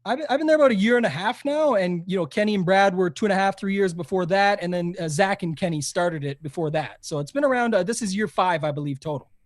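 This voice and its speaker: A man's voice: high-pitched, fast talker, hardly any pauses, no echo. A young man with a Canadian accent, talking eagerly and rambling as a podcast guest in a casual interview. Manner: eager, rambling, frequent fillers and restarts. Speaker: guest, fantasy golf podcaster.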